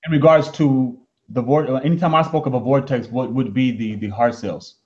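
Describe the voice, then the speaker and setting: A man calling into a live stream, speaking formally and haltingly with many restarts. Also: mid-range voice, steady tone, a little mellow. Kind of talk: casual live-stream discussion. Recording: dull lo-fi call audio.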